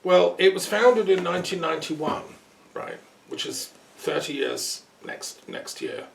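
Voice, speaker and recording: Gravelly, roomy-sounding man hosting a talk. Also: sits high, wildly swooping pitch, measured pace. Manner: rambling, thoughtful, with frequent ums and asides. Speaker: host. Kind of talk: relaxed conversational interview. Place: peace museum building. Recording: roomy sound from a distance, faint noise.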